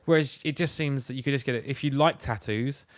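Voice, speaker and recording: A man's voice: mid-range voice, fast talker. An English man co-hosting a podcast in casual comic chat, talking in a rambling, colloquial way with plenty of swearing. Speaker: podcast co-host.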